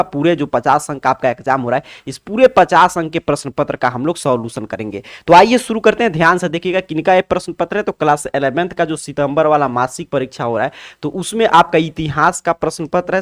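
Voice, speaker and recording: A man teaching an exam solution lesson, putting questions to students and answering them himself, repeating key phrases. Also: on the high side.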